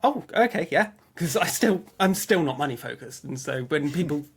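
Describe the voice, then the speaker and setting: A man's voice: fairly high pitch, expressive, normal speed, husky. A man telling personal anecdotes in a casual interview, chatty, often acting out his own remarks and restarting sentences. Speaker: interview guest, fan website webmaster.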